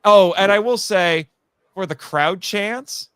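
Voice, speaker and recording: A man chatting casually as a guest on a live podcast, telling stories in a rambling, animated way and acting out quoted remarks. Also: high-pitched, slow pace, clear, resonant tone, dry recording, studio quality. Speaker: guest, audio drama writer.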